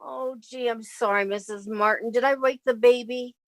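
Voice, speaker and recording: A woman's voice: a little high, fairly even intonation, normal speed, dry recording, close to an everyday voice. A woman playing a sensible, weary young roommate, caring and wry, in a 1950s-style radio comedy. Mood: firm. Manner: motherly, exasperated, wry.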